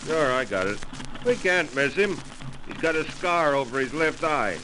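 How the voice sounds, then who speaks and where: A man's voice: fairly high pitch, animated, normal speed, natural voice. Man acting a blustering, slangy radio-drama police sergeant. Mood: blustery, confident. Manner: slangy, ungrammatical, blustering working-class talk. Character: gruff, eager, tough-talking male police sergeant, war veteran. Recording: noisy old radio broadcast.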